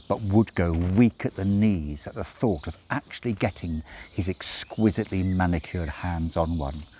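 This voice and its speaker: A man's voice: deep voice, darkish tone, a little hiss or hum. A man reading a story aloud as scripted narration, with wry, dramatic emphasis. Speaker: audiobook reader.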